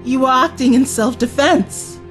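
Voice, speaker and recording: A woman playing a young companion posing as a nurse, gentle and reassuring. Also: high voice, measured pace, rough recording. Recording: audio drama with faint music underneath.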